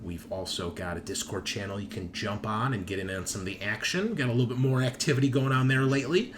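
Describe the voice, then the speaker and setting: Low-voiced man hosting a gaming show, noisy background. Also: fast talker. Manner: profane, joking banter. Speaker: host and game master of a tabletop gaming show. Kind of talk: casual show intro and announcements. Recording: noticeable background noise.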